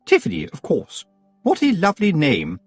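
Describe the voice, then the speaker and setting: A man's voice: fairly high pitch, wildly swooping pitch, normal speed, a little mellow, no echo, faint music behind, voice pushed up. A man voicing a prim, falsely sweet middle-aged witch who speaks with sugary politeness. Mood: honeyed.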